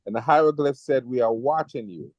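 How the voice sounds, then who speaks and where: A man from the United States in casual group chat, telling stories in an animated way. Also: mid-range voice, a little fast, no room sound, muffled recording. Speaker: panelist.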